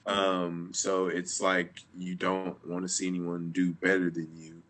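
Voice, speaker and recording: Very deep, bright-toned man. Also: monotone, a little slow. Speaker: cast member at a table read. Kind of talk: casual discussion.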